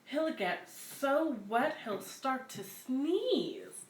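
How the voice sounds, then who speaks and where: A woman's voice: high voice, sing-song, drawn-out words. A woman hosting a children's story time, reading picture books aloud and chatting casually to listeners. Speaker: community center story-time host. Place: echoey room at a community center. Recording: echoey room, clear background noise.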